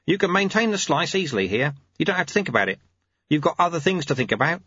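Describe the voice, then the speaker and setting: A man's voice: on the high side, big pitch swings, fast, fairly bright tone, breathy edge, dry recording, highs cut off, voice pushed up, full character voice. A man voicing a wily, cheerful old sweeper who coaxes and teases in colloquial speech, as an audiobook character. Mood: chatty, reassuring. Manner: colloquial, chirpy, coaxing.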